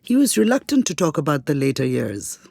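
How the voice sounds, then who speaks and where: A woman co-hosting a podcast, telling things in a conversational, expressive way. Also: sits low, brisk, vocal fry, no echo, studio quality. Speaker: podcast co-host.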